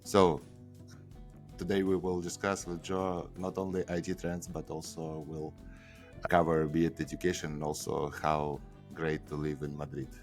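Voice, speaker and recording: A man's voice: rumbling low, little pitch movement, moderate pace, faint music behind. A man with a Russian accent hosting a podcast, introducing his guest hesitantly with many fillers. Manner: hesitant, many fillers, non-native phrasing. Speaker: podcast host.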